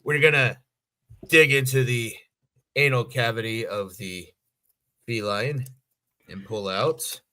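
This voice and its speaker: A man's voice: medium pitch, takes it slowly, pauses often, bright, forceful tone. An American man co-hosting a podcast with wry, crude, teasing banter. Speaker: podcast co-host. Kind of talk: casual banter.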